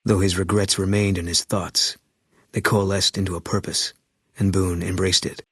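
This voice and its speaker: A man's voice: deep voice, fairly bright tone, husky, dry recording, studio quality. A man reading solemn scripted ending narration for a video game. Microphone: dry studio mic.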